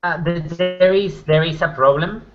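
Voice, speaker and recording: A man improvising in a tabletop roleplaying game, halting and repeating phrases, on dull lo-fi audio. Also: fairly high pitch. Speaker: tabletop role-player. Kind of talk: casual improvised in-character roleplay.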